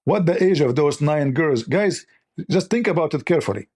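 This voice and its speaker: Male host, slightly high voice, hoarse from overuse, scornful lecturing tone, non-native English. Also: animated, normal speed, darkish tone. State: losing his voice. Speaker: religious debate host. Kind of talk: polemical commentary reading texts.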